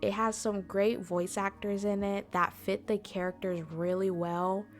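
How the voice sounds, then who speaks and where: A woman's voice: medium pitch, little pitch movement, a little slow, faint music behind. A woman hosting an informal explainer talk in a chatty, conversational way, speaking directly to her listeners. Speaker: convention panel host.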